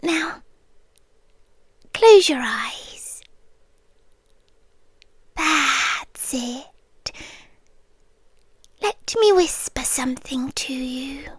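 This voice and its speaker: A woman voicing a sweetly menacing hive queen in an ASMR roleplay, talking softly and drifting in and out of whispers. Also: high voice, animated, dry recording. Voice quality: soft, slow, drifting between whisper and voice. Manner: coaxing, intimate, quietly threatening.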